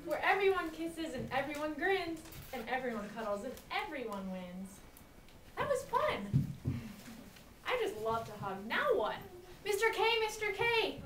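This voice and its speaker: A woman's voice: sits high, a bit bright. A young woman performing children's poetry in a readers theater style. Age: young adult. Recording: distant mic, echoey room, faint noise.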